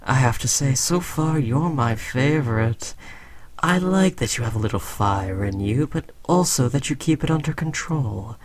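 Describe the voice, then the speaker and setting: A man playing a smug, condescending alien conqueror in an audio roleplay, talking slowly to a human servant with taunting, patronizing fondness. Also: mid-range voice, expressive, lightly acted. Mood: coaxing, condescending. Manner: slow, taunting, patronizing praise. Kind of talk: scripted audio roleplay addressed to the listener.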